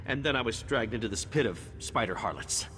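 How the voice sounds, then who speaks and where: An actor playing a cocky, flippant knight champion in a fantasy video game, with a wry, glib, theatrical delivery. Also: male voice, medium pitch, lively intonation, fairly quick, fairly bright tone, close to an everyday voice. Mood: explaining, exasperated. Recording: long reverb over background music.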